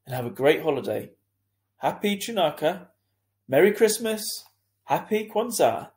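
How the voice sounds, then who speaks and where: A man playing an earnest, lenient school head in a children's story, reasoning in a measured, hesitant way. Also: fairly high pitch, big pitch swings, voice pushed up. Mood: kindly.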